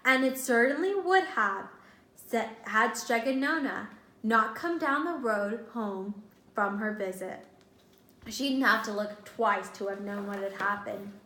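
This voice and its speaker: A woman's voice: fairly high pitch, unhurried, a bit bright. A woman reading a picture book aloud to young children as a teacher, expressively, stopping to ask questions and explain. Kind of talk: animated storybook read-aloud to young children. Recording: roomy, echoing indoor sound.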